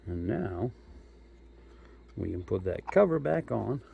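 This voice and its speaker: A man's voice: fairly low pitch, very animated, slow pace, gravelly, slightly rough, phone-quality sound. A man giving a casual hands-on product demo, explaining step by step in an informal, folksy way. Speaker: host of a radio gear review channel.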